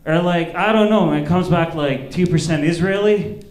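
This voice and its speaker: A man's voice: a little high, moderate pace. Echoey male stand-up comic, casual and profane. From Egypt. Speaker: stand-up comedian. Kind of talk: stand-up comedy with crowd banter. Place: indoor open mic comedy venue. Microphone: stage microphone. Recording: echoey comedy club stage.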